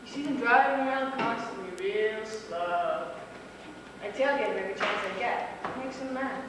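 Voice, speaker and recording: An actress playing a brash, offhand young small-time dope dealer in a stage play. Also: female voice, fairly high pitch, moderate pace, recorded from a distance, close to an everyday voice. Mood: brash, musing. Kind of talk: live stage play acting. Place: small theatre stage, recorded from a distance. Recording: echoey, noisy amateur theatre recording from afar.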